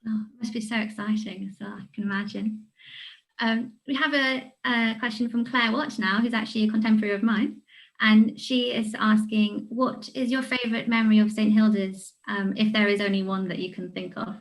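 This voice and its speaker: A woman's voice: medium pitch, steady tone, normal speed, poor audio. A woman hosting an informal question-and-answer session and relaying questions from the audience.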